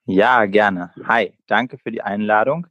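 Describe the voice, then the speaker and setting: A man's voice: lowish voice, takes it slowly, dry recording, lo-fi sound. A man in his late twenties from Berlin, answering interview questions conversationally as a guest entrepreneur. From Berlin, Germany.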